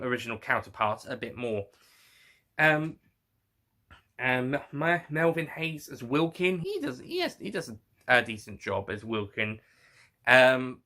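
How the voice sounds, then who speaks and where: Male reviewer, very bright forward voice, mid pitch; off-the-cuff commentary, halting, circles back and self-corrects. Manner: halting, rambling, often repeats and corrects himself. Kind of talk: casual unscripted review commentary.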